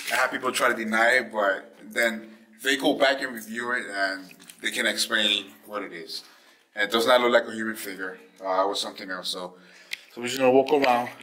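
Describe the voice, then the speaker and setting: Male explorer-vlogger, low register, informal slangy commentary, clearly noisy location audio. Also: pushed and bright, recorded from a distance. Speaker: vlog host exploring an abandoned hospital. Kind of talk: casual vlog commentary to viewers and companion. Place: on location exploring abandoned hospital buildings and grounds. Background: clear background noise.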